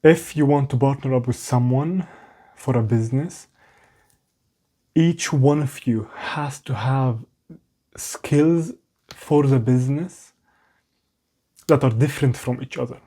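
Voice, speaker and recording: Young man with a foreign accent, mellow voice. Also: medium pitch, takes it slowly, long pauses. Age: young adult. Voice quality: non-native English accent. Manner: rambling, self-mocking, restarts often. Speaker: vlogger. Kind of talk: candid talk to camera. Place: small room at home.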